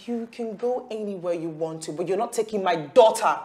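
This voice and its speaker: An actress playing a defiant, calculating wife and mother in a screen drama, arguing with a sharp tongue. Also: medium pitch, brisk, natural voice. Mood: defiant, resolute.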